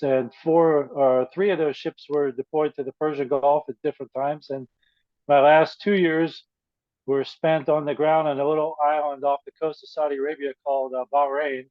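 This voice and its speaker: A man in his fifties giving a measured, plainspoken personal talk to a support group, pausing often with fillers. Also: on the high side, no echo, highs cut off. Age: 50s. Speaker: guest speaker.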